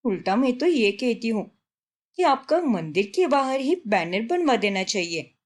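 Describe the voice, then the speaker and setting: A woman's voice: a little high, big pitch swings, unhurried. A woman voicing a showy, boastful young newlywed daughter-in-law in a story, insistent and bragging, dropping in English words. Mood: impressed, gushing.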